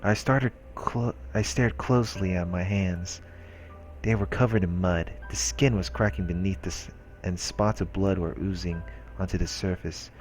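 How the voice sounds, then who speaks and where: A man reading a visual novel aloud as a solemn, hushed narration. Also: deep voice, fairly even intonation, unhurried, poor audio. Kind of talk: scripted narration read aloud. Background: game music.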